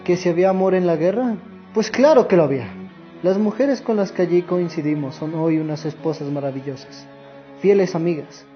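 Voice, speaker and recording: A man's voice: high voice, a little fast, close to an everyday voice. A man playing an earnest, sorrowful old war veteran and grandfather in a radio drama monologue. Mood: earnest, reflective. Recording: muffled audio with music underneath.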